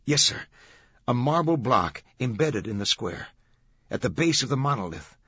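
An earnest young guard, acted by a male audiobook narrator, pitched well above the usual and bright. He explains things respectfully and eagerly, with a slightly rough edge and dull, close sound. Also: very animated, normal speed, no room sound, full character voice. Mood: earnest explaining.

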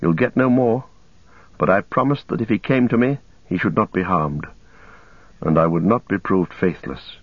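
An earnest, courteous young hobbit, voiced by a male reader in a lowish, even voice. He speaks with formal politeness and steady conviction. The sound is dry and slightly muffled. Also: moderate pace, no room sound. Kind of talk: audiobook character voice.

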